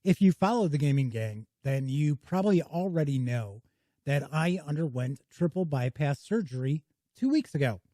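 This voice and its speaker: A man hosts a casual board game review with a folksy, welcoming manner. His pitch swings widely and expressively. His voice sits a little high but has a mellow, dark tone, and it is recorded dry in a small home studio. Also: animated, moderate pace, dry recording.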